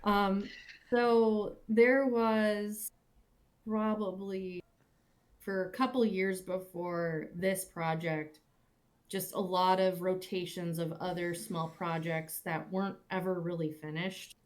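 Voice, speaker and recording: A woman in a reflective, casual panel conversation, speaking in halting, thoughtful phrases. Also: fairly low pitch, steady tone. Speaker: cast or crew member on a panel.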